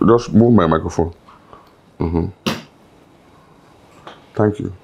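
A man's voice: low voice, slow pace, vocal fry, muffled recording, noisy background, heavily acted. A man playing a stern, weary venue manager who hesitates and politely but firmly dismisses someone. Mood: dismissive. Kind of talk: comedy skit acting.

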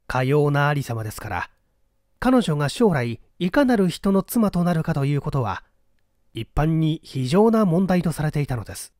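A man reading a mystery story aloud as literary narration, in a measured, polite storytelling manner. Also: a little high, sing-song, darkish tone, no room sound, clean studio sound. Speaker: audiobook reader.

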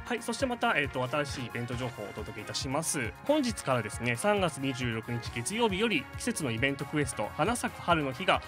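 Male game staff announcer: courteous, explanatory, fast, animated, music underneath. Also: medium pitch. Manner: polite, formal, explanatory. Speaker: game operations staff member presenting updates. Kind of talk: polite scripted announcements with asides.

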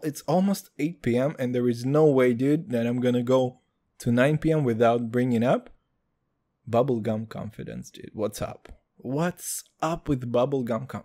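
Guy hosting a comedy podcast, foul-mouthed, keeps saying dude, mellow dark voice. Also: medium pitch. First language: Hebrew. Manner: crude, loud swings, calls listeners dude. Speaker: podcast host. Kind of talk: comedic solo podcast rant.

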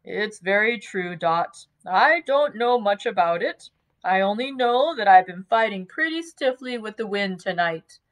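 A woman voicing a good-natured, slow-witted carrier man who speaks slowly and deliberately. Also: mid-range voice, expressive, natural voice, poor audio. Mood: plain.

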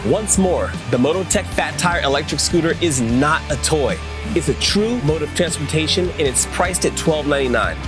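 A man's voice: on the high side, lively intonation, normal speed. A man narrating a scripted product review in a casual, slangy, enthusiastic way, as a channel presenter would. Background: music bed with guitar.

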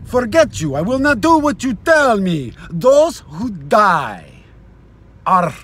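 High-pitched ranting middle-aged man, erratic. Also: sing-song, slow pace, breathy edge. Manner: ranting, erratic, swinging between low muttering and shouting. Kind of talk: theatrical spoken recitation of rock lyrics. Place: inside a moving car. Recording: noisy car interior with engine rumble.

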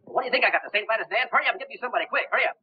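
An actor playing a brash, excitable newspaperman, slangy and urgent, in a 1930s film. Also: very high-pitched, rapid-fire, a little hiss or hum. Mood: urgent, excited. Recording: muffled old 1930s film soundtrack.

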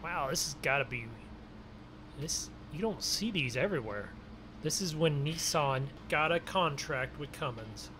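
Higher-voiced man chatting over a game. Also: lively intonation, measured pace, background noise. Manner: chatty, exclamatory, rambling asides. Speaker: gaming video host. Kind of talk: casual roleplay game commentary.

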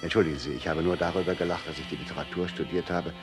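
An actor plays a polite, studious doctor in a radio play. His male voice is low, and music plays very loudly behind him. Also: fairly even intonation, racing, lightly acted. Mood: amused, polite. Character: studious doctor receiving a worried patient.

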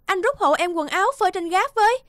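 Very high-voiced, weary young wife. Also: female voice, animated, fast, a bit bright. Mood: annoyed. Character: weary, fed-up young wife and caring friend. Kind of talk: animated cartoon dialogue. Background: background music.

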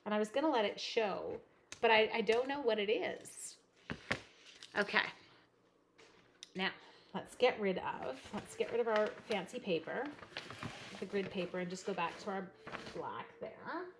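A woman's voice: medium pitch, unhurried, long pauses, noisy background. A woman from Canada hosting a live card-making stream, chatting and reading comments while she cuts. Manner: rambling, animated, reads chat comments aloud. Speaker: card-making livestream host. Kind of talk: chatty live crafting demo. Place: craft room at a desk.

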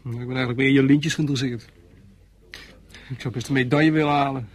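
An actor playing a young, ambitious soldier in a Dutch radio play, chatty and inquisitive. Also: male voice, medium pitch, steady tone, fast talker, close to an everyday voice. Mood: chatty, curious.

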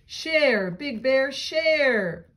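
An old storybook oak tree , acted out by a woman, stern and insistent. It issues its orders slowly and loudly, leaning firmly on each word. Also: high-pitched, expressive, pitched above the performer's own voice, full character voice. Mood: exasperated yelling. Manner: slow, loud, emphatic commands. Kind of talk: children's storybook read-aloud.

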